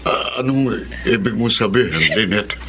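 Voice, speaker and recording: An actor playing an obsessed, guilty middle-aged uncle, a villain with a Filipino accent in a radio melodrama. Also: male voice, on the low side, lively intonation, unhurried, heavy background noise. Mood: guilty, obsessive. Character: obsessed abductor uncle, remorseful yet unyielding villain.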